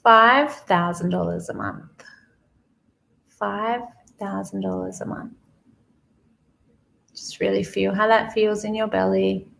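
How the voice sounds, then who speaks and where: Gravelly-voiced woman leading meditation. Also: medium pitch, steady tone, fairly bright tone. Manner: slow, soothing, repeats phrases with pauses. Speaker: guided meditation leader. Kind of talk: guided money meditation, spoken softly to the listener.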